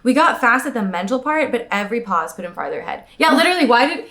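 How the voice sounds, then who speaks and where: A woman's voice: fairly high pitch, sing-song, fast talker, fairly bright tone. A young woman co-hosting a comedic book recap, reading passages aloud and adding jokey, playful asides. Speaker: podcast co-host.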